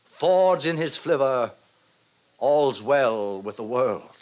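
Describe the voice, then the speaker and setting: An actor playing a brisk, self-satisfied director who lectures young students pompously in 1950s radio drama style. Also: male voice, medium pitch, animated, over a noisy crowd. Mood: grandly self-satisfied. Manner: pompous, didactic, declamatory. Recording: vintage 1950s radio recording.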